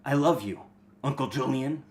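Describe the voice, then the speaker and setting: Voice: mid-range voice, normal speed, background noise, lightly acted. An amateur reader playing an earnest eighteen-year-old fantasy hero in a reader's theater reading.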